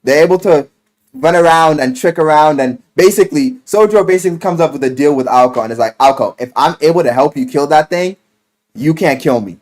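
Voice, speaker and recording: A man giving a casual, enthusiastic recap of a story, animated and slangy, swearing freely and acting out the quotes. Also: on the high side, brisk. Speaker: video game and visual novel reviewer. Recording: music playing behind the voice. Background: music.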